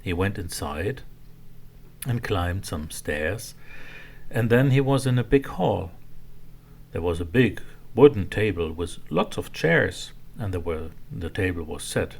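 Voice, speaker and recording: A man narrating a children's bedtime story in a simple, expressive way for small children. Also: deep voice, measured pace, vocal fry, husky.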